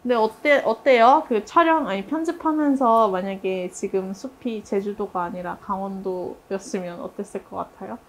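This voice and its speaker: A woman's voice: mid-range voice, normal speed, few pauses, a little hiss or hum. A woman hosting a casual team discussion as a director, chatty and polite, thinking aloud as she talks. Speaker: theatre director hosting a team review interview.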